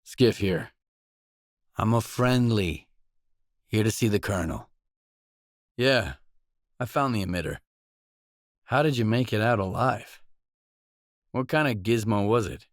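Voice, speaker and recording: Blunt wary merc loner from a video game, lowish voice, terse and matter of fact, super dry recording. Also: male voice, lively intonation, measured pace, long pauses, no room sound. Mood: guarded, businesslike. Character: gruff, wary male stalker, blunt mercenary loner. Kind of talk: video game dialogue lines.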